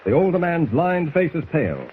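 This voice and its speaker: High-voiced man narrating vintage radio serial. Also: sing-song, moderate pace, a little hiss or hum. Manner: grave, suspenseful. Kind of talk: dramatic 1940s scripted narration. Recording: thin phone-like old radio recording.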